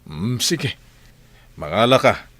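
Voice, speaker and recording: A man's voice: mid-range voice, lively intonation, slow, a bit bright, dry recording. A man playing an agreeable, questioning companion in a radio drama. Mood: questioning, obliging. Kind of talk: Ilocano radio drama acting.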